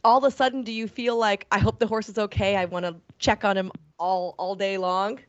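A woman's voice: medium pitch, steady tone, brisk, no echo, muffled recording. A woman co-hosting a podcast interview, eager and chatty while stuffy with a cold. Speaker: podcast co-host. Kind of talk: casual interview.

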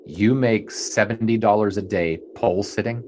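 An actor playing a polite, earnest 1920s sportswriter in an audio drama, speaking with reserve and slight hesitation. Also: male voice, on the low side, normal speed, darkish tone, slight background noise, lightly acted. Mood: earnest, hesitant.

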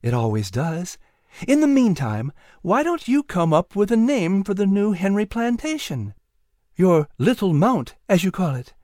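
A wise, gentle butterfly, voiced by a woman, speaking calmly in a storybook. Her voice moves up and down in a lively way. The sound is close and dry. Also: medium pitch, a little slow, no echo. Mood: knowing. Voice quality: calm, knowing.

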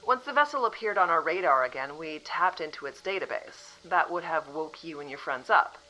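A woman acting a composed female official from the future in an audio drama, calmly apologetic and matter-of-fact. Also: deep voice, fairly quick, faint music behind, rough recording.

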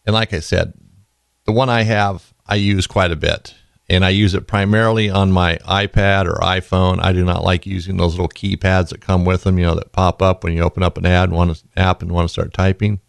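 A man hosting a podcast in a casual, rambling way, his voice raspy and tired from being sick. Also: sits low, little pitch movement, brisk, no room sound. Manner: rambling, folksy, goes off on tangents. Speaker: podcast host.

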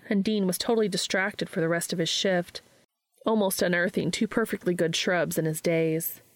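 A woman reading fan fiction aloud as an expressive scripted story narration. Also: medium pitch, no room sound.

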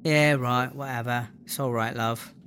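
A woman's voice: a bass voice, fairly even intonation, unhurried, fairly bright tone, slight background noise. A woman playing a brash, sulky inmate rapper in a comedy audio drama. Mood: grudging, sulky.

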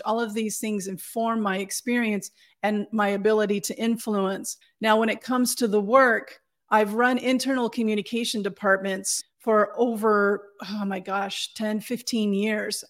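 A woman guest on a podcast, answering in an earnest and well-spoken way. Her voice sits at a middle pitch, and she talks in a steady, measured manner. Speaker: communications consultant, podcast guest. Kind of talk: conversational interview answers.

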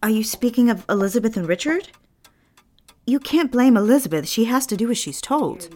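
A woman's voice: a little high, animated, a little fast, darkish tone. A woman playing an earnest, lonely young woman in an audio drama, prim and coaxing, eager to be a friend. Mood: solicitous.

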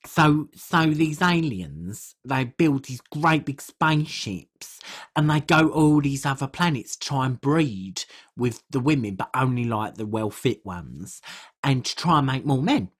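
A woman's voice: a bass voice, breathy edge. A British woman co-hosting a podcast in casual chat. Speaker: podcast co-host.

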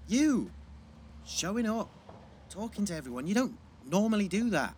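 A man's voice: high-pitched, big pitch swings, moderate pace, darkish tone, slight background noise. A young man playing a prickly, guarded teenage schoolboy in an audio drama, with a terse, defensive way of talking. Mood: hurt, accusing. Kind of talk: naturalistic audio drama acting.

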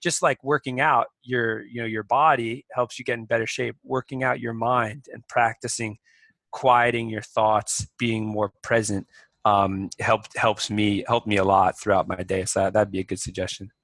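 A man answering interview questions in a measured, thoughtful way, with small restarts. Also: fairly low pitch, level tone, a bit bright, no echo. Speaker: podcast guest.

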